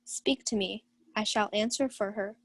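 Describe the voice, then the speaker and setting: A woman's voice: mid-range voice, moderate pace, dry recording, close to an everyday voice. A young woman playing a proud, commanding countess in a theatrical radio Shakespeare play. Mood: haughty. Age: young adult. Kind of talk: 1940s radio Shakespeare acting.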